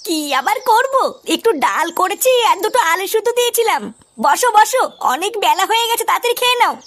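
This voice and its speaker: A woman's voice: extremely high pitch, animated, fast talker, a bit bright. A woman voicing a nagging, impatient village grandmother and housewife in a comic cartoon dub. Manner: nagging, complaining.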